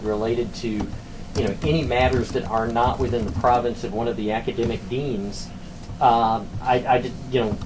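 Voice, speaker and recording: A man talking through administrative matters on a conference call, with hesitant, measured phrasing, like a university academic. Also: mid-range voice. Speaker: university academic, former program director. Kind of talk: work discussion on a conference call. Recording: conference call with clear background noise.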